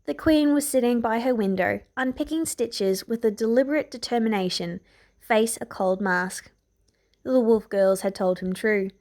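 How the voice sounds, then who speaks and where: A woman's voice: a little high, normal speed, darkish tone. A woman narrator reading a scripted piece of fiction aloud. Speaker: podfic narrator.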